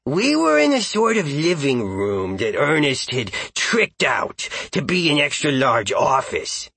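A man voicing an excitable, playful male dog who narrates a story in a chatty, goofy, raspy voice. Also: a little high, no room sound, full character voice. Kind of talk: first-person audiobook narration.

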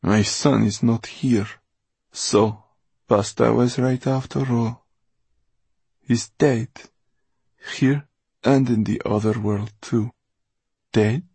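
A man's voice: mid-range voice, fairly even intonation, slow, creaky, pauses often, warm tone, husky, no echo, pitched above the performer's own voice, full character voice. A man voicing a vengeful old villainess in an audiobook, a grieving mother who spits out her words imperiously. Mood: bitter grief. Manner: spitting, venomous, imperious exclamations. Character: vengeful old woman, hobbling, grief-maddened villain mother.

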